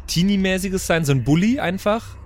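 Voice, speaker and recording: A man's voice: sits high, animated, moderate pace. A man chatting casually and in loose slang as a player in a role-playing game. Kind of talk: casual, youthful slangy chat.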